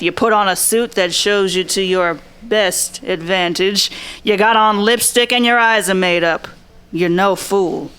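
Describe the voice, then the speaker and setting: A woman's voice: medium pitch, fairly bright tone, clear, resonant tone, close to an everyday voice. A woman playing a blunt, observant 1940s private eye in a noir radio drama, talking tough, plain slang. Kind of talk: noir radio drama acting with inner monologue.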